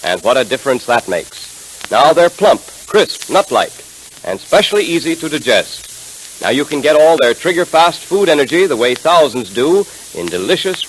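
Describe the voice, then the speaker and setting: A man's voice: fairly high pitch, a bit bright, poor audio. A man announcing in scripted 1930s radio style with emphatic, enthusiastic salesmanship. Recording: hissy vintage 1930s radio transcription.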